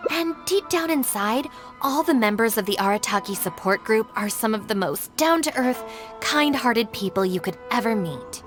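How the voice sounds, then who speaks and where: Give the impression of a responsible young woman voiced by an actress in a video game. Her voice is high and very expressive, with polite, formal phrasing that sets out to persuade. Music plays clearly behind her. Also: moderate pace, lightly acted. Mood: earnest, persuasive. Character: poised young woman, diplomatic deputy of a gang, sternly responsible.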